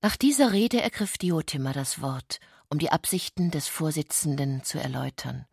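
Husky female literary narrator. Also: low-pitched, animated, normal speed, a little mellow, no room sound, clean studio sound. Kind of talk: literary narration in a radio play. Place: dry studio.

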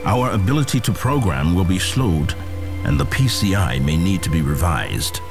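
Very low-voiced bureaucratic official. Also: male voice, very animated, normal speed, creaky, music in the background, full character voice. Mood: measured concern. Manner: formal, bureaucratic. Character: male official reporting on threats to order. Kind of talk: scripted radio drama report in English.

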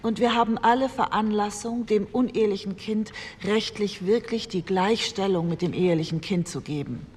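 An actress playing an earnest postwar parliamentarian, making a measured and formal plea. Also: female voice, medium pitch, a little mellow, husky, lightly acted. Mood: earnest, pleading. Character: earnest female parliamentarian pleading for children's rights. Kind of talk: re-enacted parliamentary speech. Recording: hall reverb, faint ambient noise.

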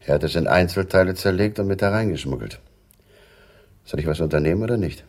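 An actor playing a conscientious police officer reporting in a matter-of-fact way in a radio drama. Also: male voice, sits low, quick pace. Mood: earnest, deferential.

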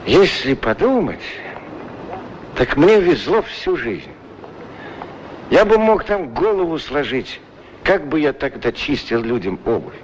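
Middle-aged male shoeshiner, wry and philosophical, unhurried delivery in short halting phrases, 1960s radio drama with noisy street background. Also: on the high side, big pitch swings. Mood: wry musing. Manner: musing, self-deprecating, halting short phrases. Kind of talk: Soviet radio play acting, musing monologue. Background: outdoor street ambience sound effects.

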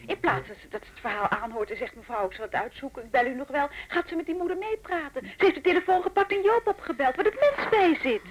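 An actress playing an indignant, talkative trumpet teacher in a naturalistic radio drama. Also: female voice, sits high, animated, quick pace, hardly any pauses, highs cut off. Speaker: orchestral musician and music teacher.

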